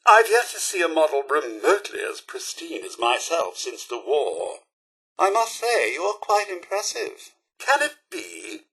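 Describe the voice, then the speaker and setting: An actor playing a prim, fussy, snobbish robot butler in a video game, with a British accent and a formal, pompous, theatrically polite manner. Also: male voice, a little high, big pitch swings, measured pace, sharp tone, breathy edge, soft background music, heavily acted, poor audio. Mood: snooty. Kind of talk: scripted game companion dialogue.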